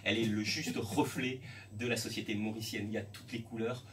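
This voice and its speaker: A middle-aged French man, a school principal, speaking in a radio interview in a measured way, often pausing and restarting. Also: fairly low pitch, fairly even intonation, a bit bright. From France. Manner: measured, hesitant with many restarts. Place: radio studio. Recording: distant mic with faint background noise.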